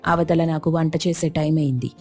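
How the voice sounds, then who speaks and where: A woman voicing a bossy, protective neighbour in an animated moral story, chiding and emphatic in a familiar way. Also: low voice, fairly quick, faint music behind. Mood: coaxing, chiding.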